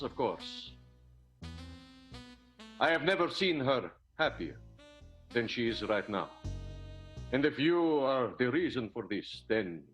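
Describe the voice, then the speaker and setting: A man's voice: mid-range voice, a bit bright. A man performs a dramatic, courtly wronged husband in an improvised 1920s radio soap. His mid-range voice swings widely through formal, theatrical phrases, with a drunken, stagey grandeur. Faint music plays behind him.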